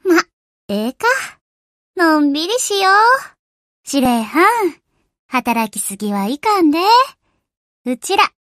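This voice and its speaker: A woman's voice: high voice, big pitch swings, slow pace, long pauses, a bit bright, no echo, clean studio sound, heavily acted. A woman voicing a cheerful, easygoing young warship girl in an anime game, with a casual, folksy Kansai accent. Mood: softer, concerned. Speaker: voice actor of a game character. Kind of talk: anime game character voice lines.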